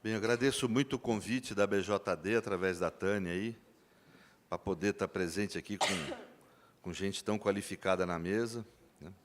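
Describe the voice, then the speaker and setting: A man with a lowish voice opens a conference talk in a relaxed, informal way, with plenty of fillers. His words ring out with a long hall reverb. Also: normal speed, slight background noise. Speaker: law professor and panel speaker.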